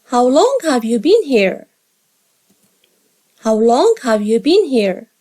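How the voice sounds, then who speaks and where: A woman English teacher reading short dialogue lines slowly and carefully for learners to repeat after her. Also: on the high side, sing-song, mellow. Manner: slow, carefully spaced drill reading.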